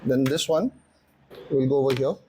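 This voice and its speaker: A man's voice: mid-range voice, normal speed, dark tone, a little hiss or hum. A man presenting a casual vlog walkthrough, explaining things step by step. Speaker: motorcycle vlogger and presenter. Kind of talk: casual unboxing and installation walkthrough.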